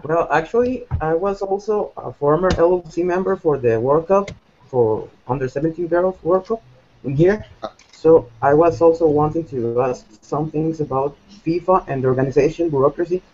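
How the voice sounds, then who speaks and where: Halting man from Costa Rica, lo-fi call. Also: a little high, a little mellow, a little hiss or hum. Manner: halting, searching for words. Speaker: student guest. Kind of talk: hesitant question in a video hangout. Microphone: video call. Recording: dull lo-fi video call audio.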